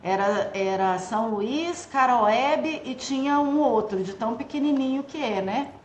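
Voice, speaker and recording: A woman from Minas Gerais, a judge, speaking in a halting, restarting way in a casual podcast chat. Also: a little high, lively intonation, lo-fi sound. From Minas Gerais, Brazil. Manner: hesitant, halting, with many restarts. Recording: echoey room with faint noise.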